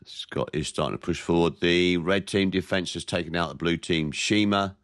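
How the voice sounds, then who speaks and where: A very deep-voiced man with a head cold, his voice nasal and stuffy as he narrates a warship game in a measured, plain-spoken way. The sound is close and dry, with no room around it. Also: a bit bright, no echo. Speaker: gameplay commentator. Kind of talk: naval game commentary.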